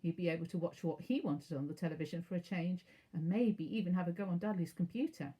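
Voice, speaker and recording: A woman narrating a children's storybook aloud as a teacher would, in an expressive, measured way. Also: fairly low pitch, breathy edge.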